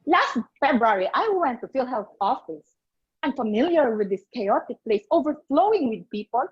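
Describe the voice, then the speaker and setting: A woman in her late fifties with a Filipino accent, delivering a humorous contest speech in a theatrical, deliberate manner over an online call. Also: a little high, very animated, breathy edge. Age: late 50s. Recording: muffled online video call.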